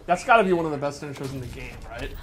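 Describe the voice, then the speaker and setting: A man's voice: medium pitch, animated, rapid-fire, a bit bright. A man giving casual gameplay commentary with a joking, self-deprecating manner. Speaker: game stream commentator and player.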